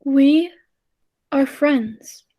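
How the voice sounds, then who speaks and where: A woman's voice: high-pitched, extremely slow, warm tone. A woman playing an earnest, thoughtful time traveller who narrates a radio play with dramatic storytelling. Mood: calm, coaxing.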